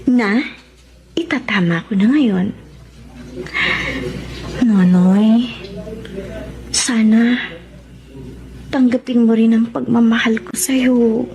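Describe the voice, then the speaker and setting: A woman's voice: on the high side, steady tone, slow, long pauses, dark tone, low-quality recording. An actress playing a remorseful, devout young woman in an emotional Tagalog radio drama, with a Filipino accent. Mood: tender, remorseful.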